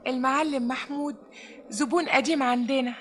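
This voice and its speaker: This is a woman performing a coquettish Egyptian spy accomplice from an old radio comedy. Her voice sits high. She is coy, teasing and naive, with drawn-out melodramatic touches. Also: steady tone, natural voice. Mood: welcoming.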